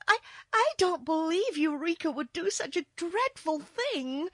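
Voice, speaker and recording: A woman's voice: high-pitched, very animated, a little slow, fairly bright tone, no room sound, studio quality, pitched above the performer's own voice, full character voice. A woman voicing an earnest, emotional little girl in a storybook, anxious, pleading and scolding. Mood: anxious, distressed. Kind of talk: storybook character voice.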